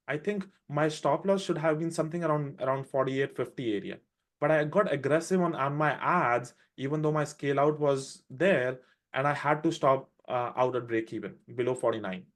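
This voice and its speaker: A man with an Indian accent, raised in India, walking through his trades on a live trading show with quick, animated explanations. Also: fairly high pitch. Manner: fast, animated explaining with restarts. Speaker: day trader presenting a trade recap.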